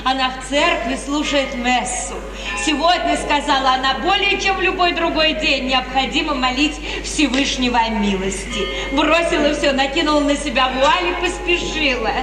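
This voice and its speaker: An actress playing a gracious, soothing middle-aged wife in a refined 1950s radio theatre manner. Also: female voice, high voice, moderate pace, natural voice. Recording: old echoey radio play, distant, music behind.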